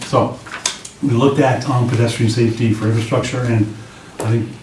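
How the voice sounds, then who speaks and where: A man with a slightly raspy voice giving an informal, plain-spoken briefing to a committee as a public works director. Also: mid-range voice, normal speed. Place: indoor meeting room. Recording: roomy, distant mics with clear background noise.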